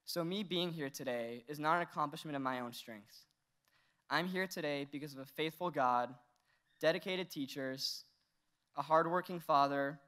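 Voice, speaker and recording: A man's voice: a little high, a bit bright, clear, resonant tone. Young man giving a heartfelt graduation speech. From Iran. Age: teens. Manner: earnest, with light humor and laughs. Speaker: valedictorian. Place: large indoor ceremony stage. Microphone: podium mic.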